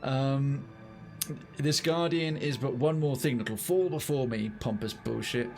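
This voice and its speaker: A man's voice: mid-range voice, measured pace, a little mellow. A male streamer with a mid-range voice gives casual, rambling game commentary. He is wry and chats to viewers over faint music. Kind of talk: casual let's play commentary, chatting to viewers.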